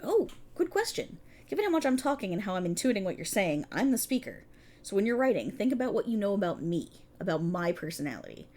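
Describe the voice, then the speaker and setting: A woman's voice: medium pitch, big pitch swings, fast, darkish tone. A woman playing a prickly, exasperated police officer in a scripted ASMR roleplay, talking in a wry, bossy, explanatory way to an unheard partner. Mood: instructive. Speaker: voice actor in audio roleplay. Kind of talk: scripted ASMR roleplay, one-sided dialogue.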